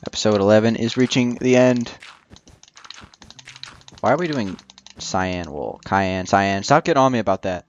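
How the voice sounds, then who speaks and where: A man's voice: on the low side, expressive, normal speed, pauses often, lo-fi sound, a little hiss or hum. A young man casually commenting while building in a video game, rambling and joking with lots of fillers. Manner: rambling, jokey, many fillers. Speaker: gaming channel host.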